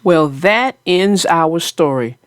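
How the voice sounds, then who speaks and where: A woman's voice: fairly low pitch, animated, slow pace, no echo, clean studio sound. A woman hosting a children's story show, chatting in a folksy, playful, teasing way. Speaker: host and storybook narrator. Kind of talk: children's read-aloud and casual chat about the story.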